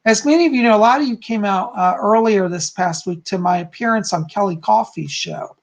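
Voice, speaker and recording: A man with a hoarse, slightly raspy voice, chatting casually from home as a psychic hosting his live stream and greeting viewers effusively. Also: high voice, brisk. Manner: chatty, effusive, frequent "okay?" and "you know".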